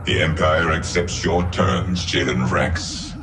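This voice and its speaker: An imposing villain of a space empire in a video game. The voice is very low, cold and commanding, with formal menace in each phrase. Music sounds behind it. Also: male voice, takes it slowly, heavily acted. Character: imposing Imperial dark lord, cold and merciless. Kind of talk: cinematic game acting. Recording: music under the voice.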